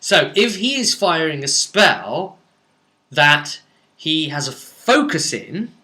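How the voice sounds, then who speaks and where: Forceful, bright-voiced man explaining game rules. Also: a little high, very animated, slow. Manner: chatty, emphatic, thinking aloud, checks in with "okay?". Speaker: tabletop game explainer answering a subscriber. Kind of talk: casual unscripted rules explanation.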